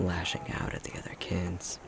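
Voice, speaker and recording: A man playing a tender, self-conscious young fox-shifter boyfriend in an intimate ASMR roleplay, speaking in a soft, breathy, halting murmur. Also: low-pitched, completely flat delivery. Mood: wry, reminiscing. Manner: unhurried, halting, self-deprecating chuckly storytelling.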